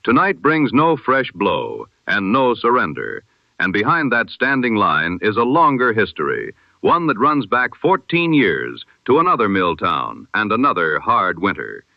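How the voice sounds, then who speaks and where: A man presenting a scripted news bulletin in 1920s radio style, with measured, solemn, oratorical phrasing and a vintage radio filter. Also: on the low side, big pitch swings, creaky, dry recording. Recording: dull, band-limited old radio sound.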